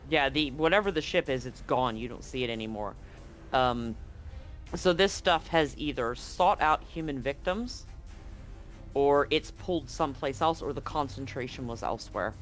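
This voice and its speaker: A man running a tabletop horror game as game master, setting scenes slowly and deliberately, often restarting his sentences. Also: mid-range voice, animated, fairly bright tone, faint music behind.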